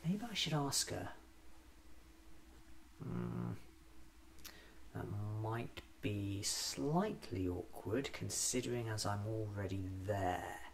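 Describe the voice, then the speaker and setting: Man acting as a quirky alien time traveller, ASMR roleplay, extremely slow deliberate speech, swooping intonation, soft musing to himself. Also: fairly low pitch, lively intonation, vocal fry, slight background noise, full character voice. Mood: musing, pleased. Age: 30s. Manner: slow, deliberate, hesitant self-musing in short phrases.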